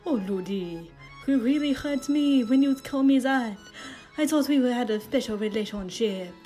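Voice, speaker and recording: A woman's voice: a little high, normal speed, warm tone, soft background music, lightly acted. A woman voicing a flirtatious, melodramatic Frenchman in an audio story, cooing and theatrical. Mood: mock hurt. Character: flirtatious, melodramatic Frenchman, teasing and mock-wounded.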